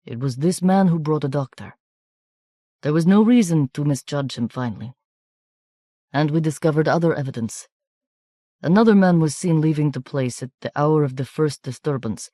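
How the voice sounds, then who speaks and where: A woman's voice: low voice, moderate pace, warm tone, no echo, studio quality. A woman voicing a formal, deferential male police official in an audiobook. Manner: stiff, formal speech.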